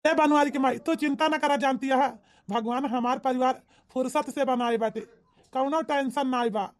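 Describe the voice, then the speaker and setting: A woman's voice: high-pitched, steady tone, normal speed, close to an everyday voice. A woman voicing plain-spoken villagers in a family comedy cartoon, with an emphatic, declamatory Awadhi-accented delivery. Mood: pleased, reassuring. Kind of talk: exaggerated cartoon comedy dialogue.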